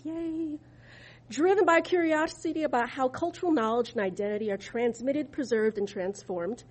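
A woman opens the session with a prepared introduction of the presenter. She reads it in a measured, formal way, and her voice sits a little on the high side. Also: darkish tone, husky, rough recording. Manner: measured, read from a script. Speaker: event moderator introducing the speaker.

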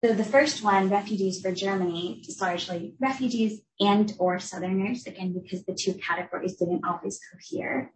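A young woman from the United States giving an academic talk as a researcher, explaining in a clear, measured way. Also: mid-range voice, darkish tone, a little hiss or hum. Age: young adult. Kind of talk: academic lecture. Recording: echoey room, voice from a distance.

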